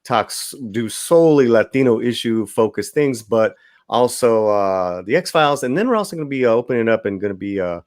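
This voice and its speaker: Dude hosting a stream, laid back and chatty, lots of uh, dry close sound. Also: male voice, mid-range voice, brisk, no room sound. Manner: relaxed, chatty, loose with fillers. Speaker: host. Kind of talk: casual chat on a livestream.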